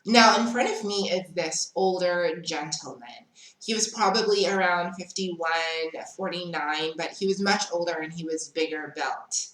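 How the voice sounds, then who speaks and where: A young woman with a South African accent recounts a story in a laid-back storytime vlog. Her voice sits a little low and she talks slowly and chattily, staying frank and unbothered. The sound bounces around an echoey room. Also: a bit bright. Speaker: vlogger.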